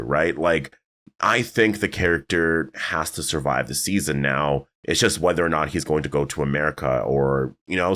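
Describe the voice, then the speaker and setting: A deep-voiced man talks through his thoughts in a loose, rambling commentary, his voice raspy and slightly worn. He fills pauses with "uh" and "like" as he speculates. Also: a bit bright. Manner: rambling, filler-heavy, thinking aloud. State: raspy, strained voice. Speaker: YouTube commentator. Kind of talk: casual video commentary.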